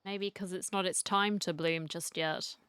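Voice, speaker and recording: A woman streamer giving low-key, casual reaction commentary on a game, close to the microphone. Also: fairly even intonation, unhurried, a bit bright, clean tone, no echo.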